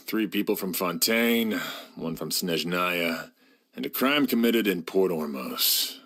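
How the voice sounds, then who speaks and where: An actor playing a weary, fair-minded sheriff in a video game, with a measured, official manner. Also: male voice, low voice, animated, fairly bright tone, soft background music, heavily acted. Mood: weary, exasperated. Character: weary, fair-minded male sheriff, gruff but reasonable.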